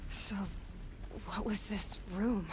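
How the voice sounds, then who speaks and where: Blunt young huntress heroine. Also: female voice, mid-range voice, steady tone, slow pace, very noisy. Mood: sardonic, curious. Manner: blunt, sarcastic, determined. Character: defiant, headstrong young female huntress, sardonic. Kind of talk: dramatic video game dialogue.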